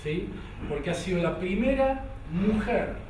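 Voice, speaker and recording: A man's voice: sits high, animated, unhurried, darkish tone. A man with an Argentine Spanish accent giving a conference lecture, hesitant, with many fillers and restarts. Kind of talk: academic lecture with a read-aloud poem. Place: lecture hall. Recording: echoey hall from far off, faint noise.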